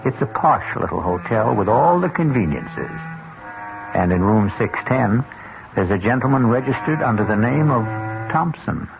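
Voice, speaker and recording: A man narrating a radio mystery drama as host, deliberate and theatrical, in a suspenseful scripted style. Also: medium pitch, lively intonation, vocal fry, noisy background. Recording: tinny vintage radio recording, music behind.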